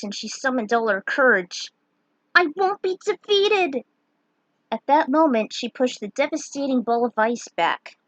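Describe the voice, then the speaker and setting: A woman narrates a fantasy tale in a fairly high voice. Her delivery is dramatic and clearly read from a script, recorded dry with little room sound. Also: dry recording, low-quality recording. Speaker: storyteller reading fan fiction.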